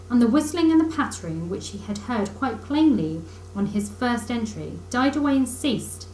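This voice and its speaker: A woman reading a children's book aloud in expressive storybook narration, like a teacher reading to pupils. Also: mid-range voice. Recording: echoey room with faint noise.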